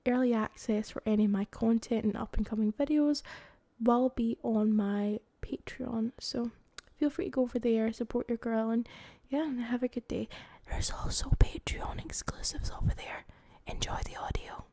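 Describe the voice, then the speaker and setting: A British woman speaking casually in a soft half-whisper, as an ASMR creator addressing her audience. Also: on the high side, normal speed, no room sound.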